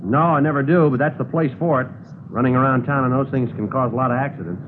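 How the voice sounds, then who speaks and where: A man playing a laconic, low-key investigator making polite conversation in a 1950s radio drama. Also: quick pace, phone-quality sound, natural voice. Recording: noisy old radio broadcast, engine sounds.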